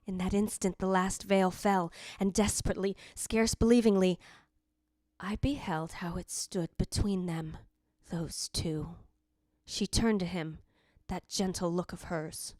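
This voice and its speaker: A woman's voice: lowish voice, fairly even intonation, measured pace, a little mellow, dry recording, clean studio sound, close to an everyday voice. A woman playing the yearning, possessive ghost of a dead wife, narrating formally in old-time radio drama style. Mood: anguished. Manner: formal, literary, theatrical delivery.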